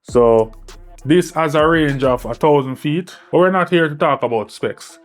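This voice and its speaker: A man's voice: fairly high pitch, unhurried, soft background music. Man giving a casual gear review. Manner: conversational, often checks in with "right?" and "all right?". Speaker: product reviewer. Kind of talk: casual product review to camera.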